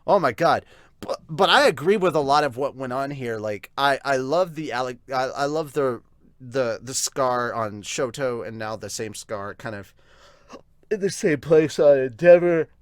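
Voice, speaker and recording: An excitable, giggly, sweary man with hiccups, hosting a casual manga review and reading out viewer comments. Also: medium pitch, moderate pace, dry recording, clean studio sound. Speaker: host of a manga review show.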